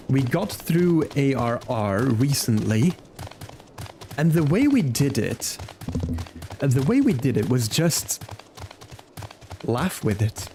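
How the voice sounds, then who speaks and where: A man chatting casually as a game streamer, informal and halting as he thinks aloud. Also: medium pitch, dark tone, a little hiss or hum. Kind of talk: casual livestream chat during gameplay. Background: game music.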